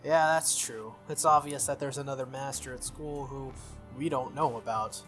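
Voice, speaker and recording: A man reading the lines of a sharp, proud teenage schoolgirl from a visual novel. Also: medium pitch, normal speed, close to an everyday voice. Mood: grudging, probing. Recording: music behind the voice.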